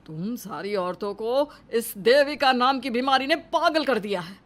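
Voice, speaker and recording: A woman's voice: a little high, animated, unhurried. A woman voicing a stern, scolding man of authority in a Hindi cartoon family drama. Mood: angry.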